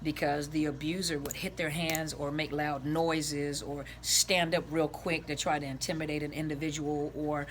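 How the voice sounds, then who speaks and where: A woman counselor with a raspy voice gives informal advice to viewers, rambling earnestly and restarting her phrases, recorded indoors on a camera set on the floor. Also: low-pitched, level tone, normal speed, few pauses, slight background noise. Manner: gestures with her hands as she talks.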